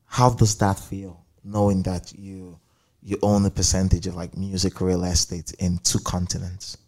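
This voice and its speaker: A man's voice: low voice, flat delivery, measured pace, a little mellow. A man conducting a conversational interview, reflective, building questions in repeated phrases.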